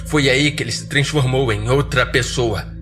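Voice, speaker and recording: A Brazilian man narrates horror fiction in the first person as a podcast storyteller. His pitch sits in the upper-middle male range and his delivery is dry and measured, with clear music underneath.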